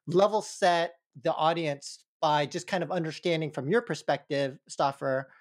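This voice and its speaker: A man's voice: a little high, moderate pace. A man hosting a podcast interview, laying out his ideas carefully with many hedging words. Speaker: host.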